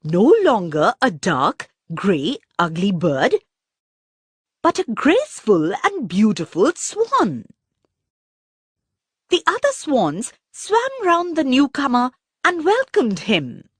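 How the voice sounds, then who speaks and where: A woman narrating a children's story from a script in an expressive, deliberate storybook manner. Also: fairly high pitch, slightly rough, no room sound.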